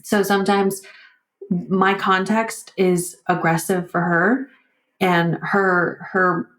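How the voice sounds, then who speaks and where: A woman whose first language is Vietnamese, talking candidly and reflectively in an interview, halting and often saying "like" and "you know". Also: mid-range voice, little pitch movement, a bit bright, roomy sound, poor audio. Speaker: podcast guest.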